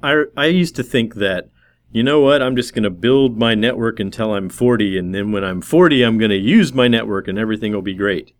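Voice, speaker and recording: A man's voice: fairly low pitch, a little fast. A man in his forties hosting a podcast, chatting casually and joking easily with his guest. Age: 40s. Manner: relaxed and joking, laughs easily. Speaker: podcast host. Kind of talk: casual interview chat.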